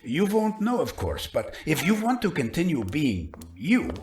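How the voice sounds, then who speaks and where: A man's voice: on the high side, wildly swooping pitch, a little slow, noisy background. A man playing a captive doctor in an audio drama, speaking with grave, earnest urgency and careful deliberation. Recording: echoing hard-walled room sound.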